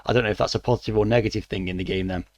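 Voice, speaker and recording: A middle-aged man with a croaky voice, reviewing a retro game with dry, wry asides. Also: fairly low pitch, fairly even intonation, racing, darkish tone, dry recording. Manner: dry, mildly wry asides with frequent "uh" fillers. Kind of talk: relaxed spoken review.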